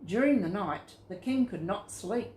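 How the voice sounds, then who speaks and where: A woman reading scripted Bible stories to children in a simple, expressive storytelling manner. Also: medium pitch, measured pace, slight background noise. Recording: roomy, echoing sound.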